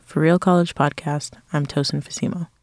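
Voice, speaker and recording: Man, from Nigeria, scripted reporter narration, slightly high voice, dry sound. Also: steady tone, moderate pace, dry recording. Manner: reflective first-person storytelling. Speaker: student reporter.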